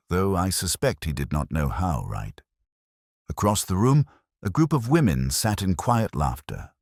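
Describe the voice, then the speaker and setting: A man reading a scripted first-person detective story in a measured, literary and brooding manner. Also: very deep voice, no room sound, clean studio sound. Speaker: narrator.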